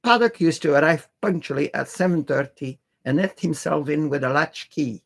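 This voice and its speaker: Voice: mid-range voice, normal speed, vocal fry, husky. A reader narrating a classic adventure novel aloud in the first person.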